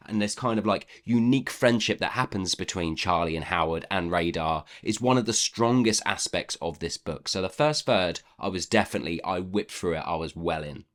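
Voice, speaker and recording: A man with a fairly low voice talks through a book review. He is chatty and opinionated, speaking informally as if to a viewer and leaning on repeated words to stress his points. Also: a bit bright. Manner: chatty, opinionated, emphatic repetition. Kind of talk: casual book review to camera.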